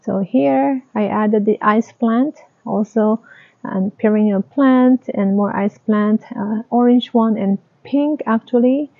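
Slow halting woman showing off her garden, gravelly voice, tinny dry phone-like sound. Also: on the high side, dry recording. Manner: slow, halting, plain descriptive talk. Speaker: home gardener giving a tour. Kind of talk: casual garden tour narration.

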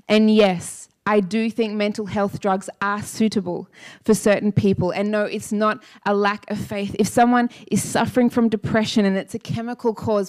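Brief: woman in her 30s, lively church preacher, smooth clear voice, handheld mic. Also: medium pitch. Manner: energetic, emphatic, repeats key lines and asks "Amen?". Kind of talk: sermon. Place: church stage with dark blue lighting. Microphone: handheld microphone.

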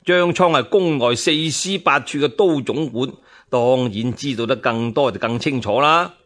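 A man's voice: medium pitch, moderate pace, fairly bright tone. A man with a Cantonese accent narrating historical tales in a dramatic, colloquial storyteller's manner.

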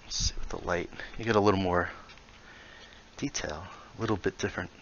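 A man's voice: low voice, fairly even intonation, brisk, highs cut off, slight background noise. A man casually explaining how he builds in a VR game, thinking aloud and muttering small asides as he works. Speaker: VR building tutorial creator. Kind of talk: casual tutorial while building in VR.

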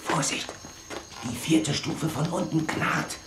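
An actor playing a cautious, shrewd Western sheriff in a children's audio drama. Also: male voice, fairly high pitch, takes it slowly, reverberant, heavy background noise. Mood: hushed, wary.

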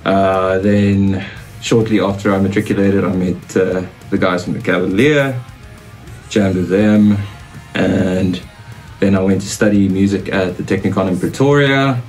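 A young South African man with a lowish voice chats loosely, full of "uh" and "like". He sits in a small home studio, and some quiet music plays beneath him. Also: unhurried. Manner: relaxed, rambling with fillers. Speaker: guitarist. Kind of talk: casual interview.

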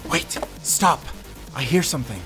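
A man's voice: mid-range voice, animated, measured pace, close to an everyday voice. A man acting a wary, protective fighter on the run in an audio roleplay, questioning and urgent. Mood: urgent, alarmed. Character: wary, suspicious fighter leading an escape, protective. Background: music.

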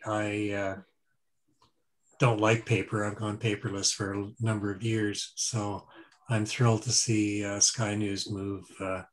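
An older man, a club member, chatting on a video call in a relaxed way with many fillers. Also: on the low side. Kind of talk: casual video call chat.

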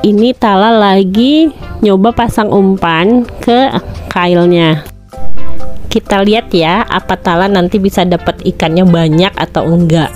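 A woman's voice: mid-range voice, measured pace, soft background music. A woman in her thirties, a family vlogger, chatting cheerfully to viewers as she films a tour of an outdoor fishing pond cafe on her phone. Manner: chatty, cheerful, excited cheers when fish are caught. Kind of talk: casual tour narration to viewers.

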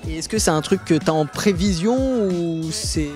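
A man's voice: high-pitched, expressive, moderate pace, music in the background. A man in his twenties doing a casual street interview on a city street, chatty and prompting with follow-up questions into a handheld mic.

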